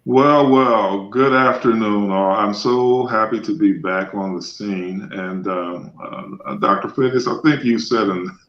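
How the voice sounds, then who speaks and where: Low-voiced pastor in an echoey room. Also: male voice, a little slow, hardly any pauses. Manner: warm-up remarks with fillers and restarts. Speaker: pastor, team leader. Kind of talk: panel introduction. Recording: echoey, dull lo-fi sound.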